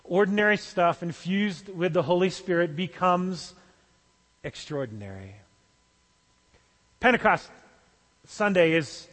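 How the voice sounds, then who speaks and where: Male pastor, high voice, easygoing preaching with restarts, long church reverb. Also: a bit bright. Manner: conversational, with small restarts. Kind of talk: sermon.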